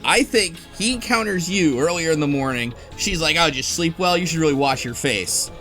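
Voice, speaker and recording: A man hosting a casual podcast discussion of a game story, talking in an animated, argumentative way. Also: a little high, brisk. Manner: animated, argumentative, quotes characters while explaining. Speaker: podcast host. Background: music behind the voice.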